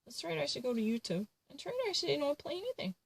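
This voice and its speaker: A woman's voice: high-pitched, sing-song, very fast, vocal fry, a crowd in the background. A woman ranting to camera in an indignant, repetitive way, filling her sentences with "actually" and "you know". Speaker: vlogger.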